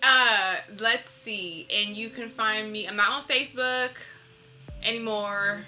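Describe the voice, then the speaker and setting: A woman speaking as an artist guest in a casual interview, talking in an expressive, conversational way. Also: mid-range voice, takes it slowly, rough recording.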